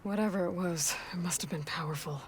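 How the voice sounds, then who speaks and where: A woman's voice: fairly low pitch, brisk, a little hiss or hum, close to an everyday voice. An actress voicing a determined young woman hunter in a video game cutscene, speaking in a focused, decisive and analytical way.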